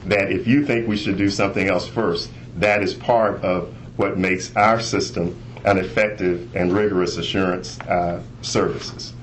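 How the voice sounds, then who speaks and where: A man speaking as an internal auditor, giving a measured, formal presentation on a conference call. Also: on the low side. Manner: measured, formal, bureaucratic phrasing. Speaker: internal auditor, inspector general. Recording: noisy conference call recording.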